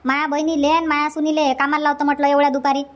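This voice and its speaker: A woman's voice: high voice, flat delivery, fast talker, natural voice. A woman voicing a chatty, teasing village aunt in a comedy cartoon, exclaiming in a Varhadi Marathi accent. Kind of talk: cartoon comedy dialogue in rural dialect.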